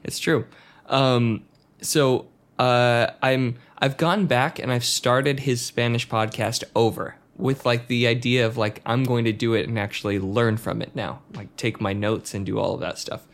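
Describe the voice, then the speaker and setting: A man's voice: medium pitch, steady tone, a little slow. Laid-back guy on a podcast, explaining stuff, lots of ums. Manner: easygoing, explains with context, hesitant fillers. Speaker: podcast co-host. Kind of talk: casual chat.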